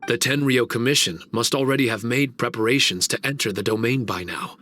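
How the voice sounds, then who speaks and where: Bright-voiced, formal guardian spirit. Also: male voice, mid-range voice. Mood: resolute. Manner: formal, courteous, measured. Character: earnest, dutiful male guardian spirit of a mystic training domain. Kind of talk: video game cutscene voice acting.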